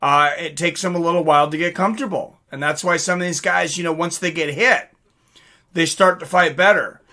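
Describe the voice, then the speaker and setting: A man's voice: fairly high pitch, fairly even intonation, a little fast, bright, forceful tone. A man hosting a live stream, chatting casually and reading out comments from viewers.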